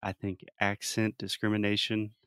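An American man from South Carolina talks casually as an interviewer. His voice sits low, and the recording is dry and close with hardly any room in it. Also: monotone, normal speed, fairly bright tone, clear, resonant tone, no echo. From South Carolina, United States. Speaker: interviewer and English pronunciation teacher.

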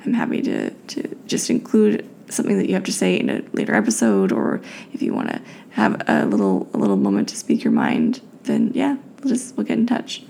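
A tired young woman with a raspy voice, hosting a solo podcast and thinking aloud with many fillers and restarts. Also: on the low side, huge pitch swings, fairly quick, mellow, a little hiss or hum. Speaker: podcast host.

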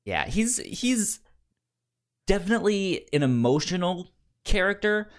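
A podcast co-host in a casual comics discussion, halting and often repeating or stumbling over words. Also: mid-range voice, sing-song.

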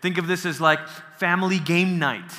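A man from Malaysia leads a relaxed teaching workshop, his voice bright and a little higher than most men's. He keeps checking in with his listeners as he goes, and his words ring out with a long reverb from a big hall. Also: normal speed. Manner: conversational, asks the audience questions, restarts, laughs easily. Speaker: workshop speaker. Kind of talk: casual lecture on theology.

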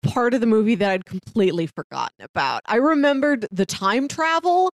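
A woman's voice: fairly high pitch, big pitch swings, brisk, no echo. A woman co-hosting a casual film discussion, chatty and often laughing as she talks. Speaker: podcast co-host.

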